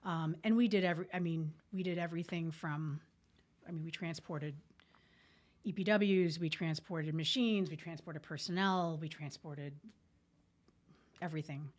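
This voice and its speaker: Lower-voiced woman telling stories informally. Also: normal speed. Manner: candid, colloquial, military jargon. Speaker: podcast guest, military veteran. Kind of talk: informal storytelling in conversation.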